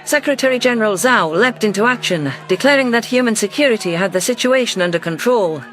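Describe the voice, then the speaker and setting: Male narrator with a high voice. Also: expressive, fairly quick, a bit bright. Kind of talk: scripted audio drama narration.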